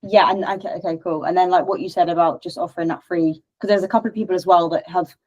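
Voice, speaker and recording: A woman's voice: lowish voice, fairly even intonation, fast talker, darkish tone, dull audio. Chatty, hesitant young woman on a call. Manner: chatty, hesitant, many fillers and restarts. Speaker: coaching client. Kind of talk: casual call. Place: video call. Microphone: video call.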